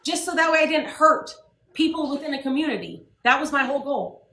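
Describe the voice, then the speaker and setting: A woman's voice: high-pitched, brisk, a bit bright, reverberant. A woman hosting a live stream and ranting bluntly with lots of swearing. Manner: blunt, profane, emphatic. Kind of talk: heated live stream rant.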